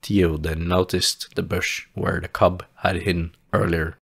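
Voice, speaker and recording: A man narrating a fantasy audio play in a theatrical, solemn storytelling manner with deliberate, dramatic phrasing. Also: deep voice, flat delivery, gravelly, a bit bright, breathy edge.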